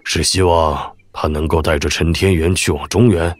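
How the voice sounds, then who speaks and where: A man's voice: low-pitched, fairly even intonation, normal speed, soft background music. An actor playing an aloof, terse master swordsman in a Chinese martial-arts audio drama. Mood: detached, curious. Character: aloof, terse male sword immortal of wuxia.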